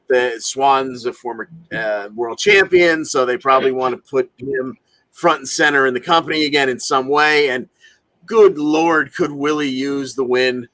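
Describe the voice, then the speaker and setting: A man's voice: mid-range voice, a bit bright, no echo. A man giving casual sports opinions as a podcast panelist, leaning emphatically on his words.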